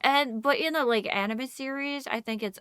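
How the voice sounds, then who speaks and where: A woman's voice: high voice, moderate pace, a bit bright, smooth, no echo, studio quality. A woman co-hosting a podcast in casual conversation, agreeable and often checking in with her listener. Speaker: podcast co-host.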